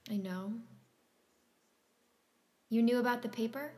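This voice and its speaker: An actress playing a haunted woman with dark, reckless desires in a stage drama, often hushed. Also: fairly high pitch, a little slow, lightly acted. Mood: quietly confessing. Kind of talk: stage-play dialogue acting.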